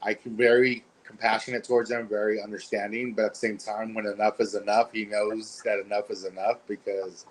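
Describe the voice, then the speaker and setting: A man on a video call as a panel guest, soft-spoken, earnest and rambling. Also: fairly low pitch, level tone, fairly quick, few pauses, poor audio. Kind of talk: casual chat.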